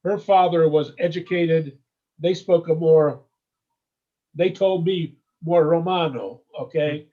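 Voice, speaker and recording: A man from the United States in a casual interview, rambling warmly and tagging his sentences with "okay?" and "you know?". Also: a little high, steady tone, takes it slowly, phone-quality sound.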